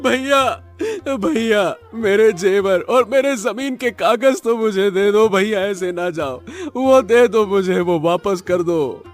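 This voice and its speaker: A man plays an honest, helpless young farmer in a cartoon moral tale. The voice is high and unhurried, wailing and pleading with stammered repeats over faint music. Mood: anguished. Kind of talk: Hindi cartoon moral story acting.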